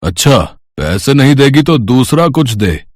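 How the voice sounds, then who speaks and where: A man plays a menacing moneylender villain in an animated moral tale. He is lecherous, demanding and bullying, in a dry recording with no room sound. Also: mid-range voice, unhurried, no echo. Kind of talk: animated Hindi moral story acting.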